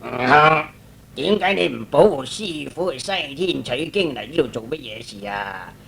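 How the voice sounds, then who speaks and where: A man playing a solemn, authoritative old celestial lord with measured, commanding Cantonese radio drama delivery. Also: medium pitch, animated, creaky, fairly bright tone, pitched below the performer's own voice. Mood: stern.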